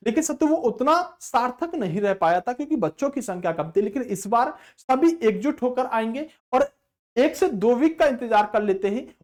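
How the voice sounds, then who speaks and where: Animated presenter explaining exam news to students. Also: mid-range voice. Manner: emphatic, repeatedly addresses listeners as friends, poses questions then answers them. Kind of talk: explanatory update talk addressed to students.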